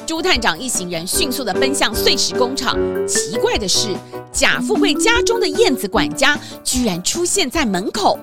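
A woman narrating a scripted children's story in a dramatic, expressive way. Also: high-pitched, moderate pace. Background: music.

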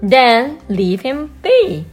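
A woman voicing a stern, rule-bound female head librarian for a children's storybook, speaking in a prim, firm and measured way. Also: high-pitched, sing-song, faint music behind, pitched above the performer's own voice, full character voice.

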